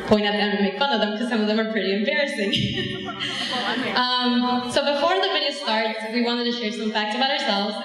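A young woman from Ecuador, hosting on stage with a handheld mic and telling a story to a live crowd in a bubbly way. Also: a little high. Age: young adult. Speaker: host. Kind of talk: stage talk to a live audience. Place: large dark stage in a hall. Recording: echoey hall, long reverb.

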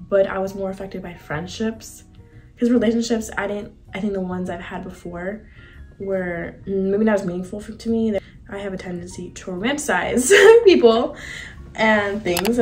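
A woman's voice: medium pitch, darkish tone. A 21-year-old woman vlogger talking in a casual, slangy, confiding way, as in a chatty sit-down monologue. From several countries, including Argentina and Bosnia. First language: bilingual English and Spanish. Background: background music.

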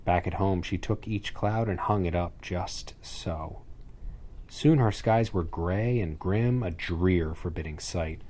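A man's voice: low voice, takes it slowly, a little mellow. A man reading a rhyming children's story aloud with theatrical, dramatic phrasing. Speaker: storybook reader. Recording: clearly noisy added ambient sound effects. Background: sound effects, ambience, animal sounds.

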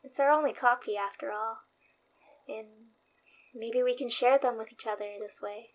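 A woman playing an earnest, anxious, loyal young store worker in a naturalistic horror audio drama, speaking with a hesitant, halting manner. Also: on the high side, steady tone, natural voice, rough recording.

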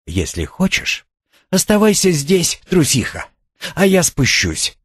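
A man's voice: a little high, huge pitch swings, slow pace, breathy edge, no room sound, clean studio sound, pitched above the performer's own voice, exaggerated voice. A man voicing a bossy, teasing seventeen-year-old boy in an audiobook, with slangy, taunting delivery. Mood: scornful.

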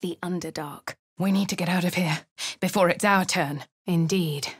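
Posh English heroine, crisp and clipped, slightly low voice, dry booth sound. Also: female voice, normal speed, dry recording. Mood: measured. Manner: crisp refined delivery, shouts spell incantations. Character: posh young adult female adventurer. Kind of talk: game character voice lines and narration.

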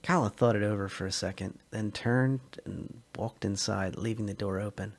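A man narrating a science fiction short story as a dramatic reading, measured and expressive. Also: lowish voice.

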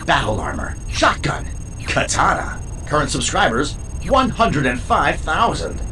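An actor playing a slick, gleefully morbid announcer in an audio drama, with a theatrical, hype-building delivery. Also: male voice, a little high, wildly swooping pitch, unhurried, a little hiss or hum, full character voice. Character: slick, gleefully morbid male livestream announcer of a doomed world. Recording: loud music under voice.

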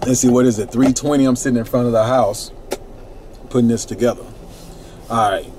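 A man's voice: mid-range voice, fairly even intonation, fairly quick, darkish tone, a little hiss or hum. A middle-aged man reciting his own rhyming spoken word poetry in a laid-back, rhythmic way from inside a parked minivan at night.

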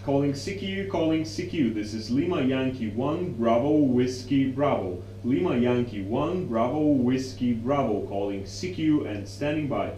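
Dark-voiced young man in a roomy space. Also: medium pitch, lively intonation, unhurried, hardly any pauses. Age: young adult. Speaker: presenter, amateur radio operator. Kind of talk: instructional demonstration with radio calls. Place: small indoor radio room. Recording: roomy echo, faint hum and noise.

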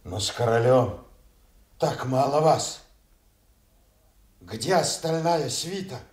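An actor playing a grave, plain-spoken loyal servant with deliberate stage delivery in a radio tragedy. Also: male voice, medium pitch, animated, a little mellow. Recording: echoey Soviet radio theatre recording.

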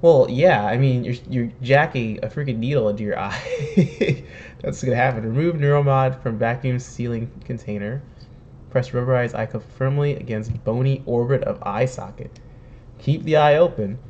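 A young man with a slightly hoarse voice, chatting casually and jokingly while he plays and reads game text aloud. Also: medium pitch, moderate pace, darkish tone, muffled recording, slight background noise. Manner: chatty, joking, laughs at himself. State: slightly hoarse, says he is sick and losing his voice. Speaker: streamer playing a video game. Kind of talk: casual let's-play commentary, reading in-game text aloud. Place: home room, webcam in corner.

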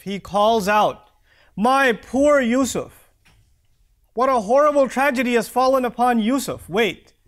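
A man in his thirties giving an expressive Friday sermon in a small prayer hall, reciting verses and then explaining them. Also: sits high. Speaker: preacher. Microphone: lapel mic.

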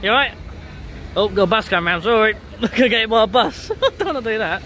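A man's voice: sits high, animated, quick pace, slight background noise. A young man chatting casually and jokily to his vlog, often repeating phrases. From England. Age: young adult. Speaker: vlogger. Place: on the go in car, large busy pub, theatre. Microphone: handheld phone at arm's length. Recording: loud music and bustling crowd behind.

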